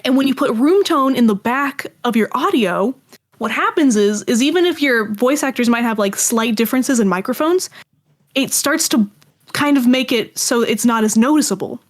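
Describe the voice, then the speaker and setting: A woman chatting casually as an interview guest, talking in a rambling, animated way. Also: fairly high pitch, moderate pace. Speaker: guest, audio drama creator.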